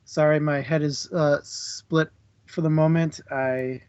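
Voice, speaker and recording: A man co-hosting a book club livestream, chatting casually and jokily with his audience in an informal, halting way. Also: fairly high pitch, fairly even intonation, darkish tone, clear, resonant tone, lo-fi sound. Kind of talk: casual chat to a live audience.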